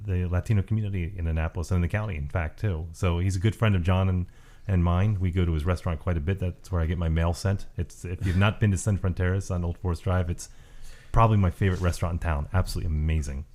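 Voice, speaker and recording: A male podcast host with a very deep voice talks quickly and keeps the chat going. His tone is dark and mellow. He banters with his guest easily. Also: fairly even intonation. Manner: chatty, quick-talking, jokey.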